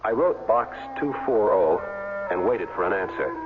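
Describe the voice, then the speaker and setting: A washed-up young football player in a vintage radio drama. His low voice swings expressively through wry, colloquial lines. The recording is dull, with music underneath. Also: sing-song, moderate pace, vocal fry. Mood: wry, reflective. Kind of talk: 1970s radio drama acting and first-person narration.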